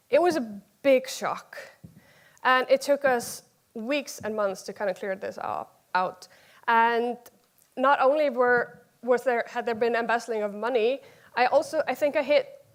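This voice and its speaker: A woman in her thirties with an Icelandic accent, giving an informal conference talk as personal storytelling, in halting short phrases. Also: on the high side. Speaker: conference speaker.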